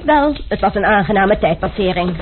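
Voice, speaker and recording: An actor playing a smooth, condescending card-sharp gambler in a radio play. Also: male voice, high voice, lively intonation, a little fast. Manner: suave, condescending. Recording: phone-like lo-fi radio play with faint hiss.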